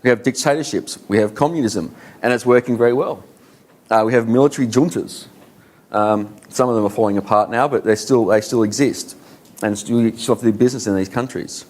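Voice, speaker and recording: A man's voice: mid-range voice, a little fast, a little mellow. A man with an Australian accent giving a conference talk to an audience, conversational, with many fillers and rhetorical questions. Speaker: conference speaker.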